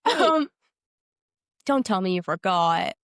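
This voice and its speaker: A woman's voice: medium pitch, very animated, takes it slowly, fairly bright tone, no echo, clean studio sound. A woman co-hosting a podcast, asking questions in casual, playful, teasing conversation. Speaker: podcast co-host. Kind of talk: casual interview chat.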